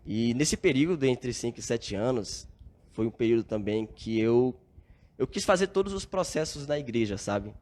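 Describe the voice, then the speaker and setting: A man's voice: mid-range voice, expressive, normal speed, darkish tone. A young Brazilian man, a podcast guest, telling his story in an earnest, reflective way with frequent filler tags. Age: young adult. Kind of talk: casual storytelling interview.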